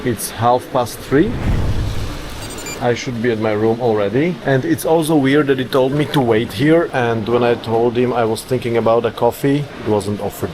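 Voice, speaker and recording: A man's voice: medium pitch, normal speed, background noise. A man giving an upbeat, chatty vlog tour of a luxury hotel, pointing things out as he walks through the rooms. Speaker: travel vlogger, hotel reviewer. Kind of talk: casual vlog narration and walkthrough. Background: background music.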